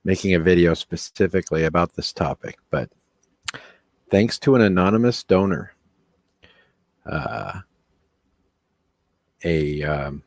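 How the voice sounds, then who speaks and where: Low-voiced man casually hosting a livestream. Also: unhurried, creaky, long pauses, no room sound. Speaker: live stream host.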